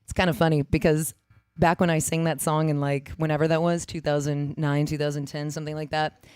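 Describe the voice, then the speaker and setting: A middle-aged American woman with a low, raspy voice, chatting casually and jokily between songs in a rambling, self-deprecating way. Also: flat delivery, a little fast, darkish tone, no echo, studio quality. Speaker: singer-songwriter. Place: live-streamed home performance with guitar.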